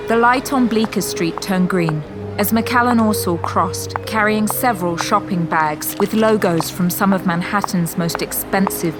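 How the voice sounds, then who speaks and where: A woman's voice: medium pitch, natural voice. A woman narrating a scripted audio drama as a poised storyteller.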